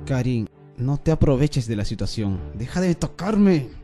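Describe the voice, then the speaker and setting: A man playing an excitable, theatrical young student in a radio drama. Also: mid-range voice, unhurried, faint music behind. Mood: annoyed. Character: excitable, scheming young male student, easily spooked.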